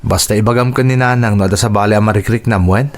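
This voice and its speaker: A man's voice: on the low side, fairly even intonation, fast, close to an everyday voice. A man playing a caring, tired young provincial husband in a radio drama, speaking earnestly with a Filipino accent. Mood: concerned.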